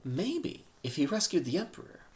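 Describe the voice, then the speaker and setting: A man's voice: fairly high pitch, big pitch swings, normal speed, darkish tone. A man reading a humorous short story aloud in a dramatic scripted narration. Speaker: story reader.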